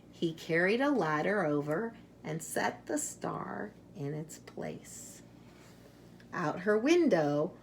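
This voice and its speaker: Unhurried woman reading a children's storybook, slightly gravelly. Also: fairly low pitch, slight background noise. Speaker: storybook reader. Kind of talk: expressive children's read-aloud.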